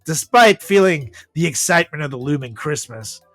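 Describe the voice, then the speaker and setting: Animated, higher-voiced man hosting comedy podcast. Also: moderate pace. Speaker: podcast host. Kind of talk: casual comedy banter and story reading. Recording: echoey room with music behind.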